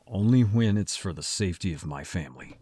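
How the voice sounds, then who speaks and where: A man plays a protective werewolf brother in an audio drama. His voice is low and husky, recorded dry and close. He talks bluntly and guardedly, gruff but ready to tease. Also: expressive, brisk, mellow, no room sound. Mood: guarded, serious.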